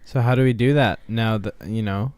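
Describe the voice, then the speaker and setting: A man co-hosting a podcast, easygoing and rambling, his sentences drifting and restarting. His voice sits a little low and sounds smooth and clear, close to the mic in a dry space. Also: brisk, dry recording. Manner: relaxed, meandering, trails off. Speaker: podcast co-host. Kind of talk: casual chat.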